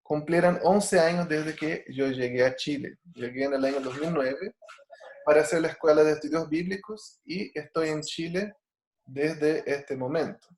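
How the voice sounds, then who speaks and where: A man's voice: on the high side, normal speed, a little mellow, reverberant. A man from Brazil, a native Portuguese speaker, teaching an online Bible study over a video call. He talks earnestly, with frequent fillers and restarts.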